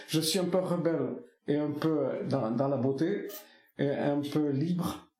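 Man, first language Basque, slow and halting, many restarts, echoey room. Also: on the high side, a little mellow. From French Basque Country. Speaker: musician interviewee. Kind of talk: reminiscing interview.

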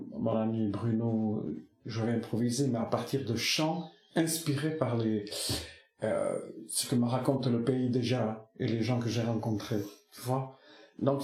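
Slow, searching Basque-speaking man. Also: mid-range voice, fairly even intonation, darkish tone, roomy sound. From French Basque Country. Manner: slow, searching, many restarts and fillers. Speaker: singer being interviewed. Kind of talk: reflective interview answers.

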